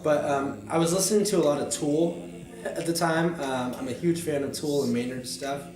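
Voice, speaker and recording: A young man and recording artist talking casually about his album in a studio, relaxed and reflective, with lots of fillers. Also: fairly high pitch, normal speed. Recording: echoey studio, mic a bit distant.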